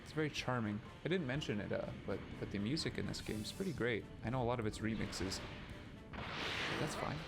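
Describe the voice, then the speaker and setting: Chatty gravelly Canadian streamer. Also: male voice, fairly low pitch, lively intonation, a little fast. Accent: somewhat New York-like. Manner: rambling, self-aware, chatty with filler restarts. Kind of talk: casual let's-play commentary. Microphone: laptop mic turned down low. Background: game music.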